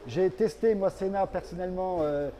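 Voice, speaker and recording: A male company representative with a soft, mellow tone set a little high. He explains products as an interview guest, feeling his way through sentences and often repeating a word. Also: moderate pace, slight background noise.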